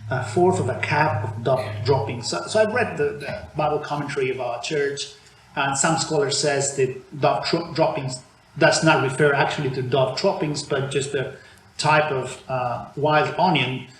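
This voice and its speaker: Argentine-preacher, echoey church. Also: male voice, a little high, moderate pace. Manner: conversational, with frequent restarts and fillers. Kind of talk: sermon. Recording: echoey church room with faint background noise.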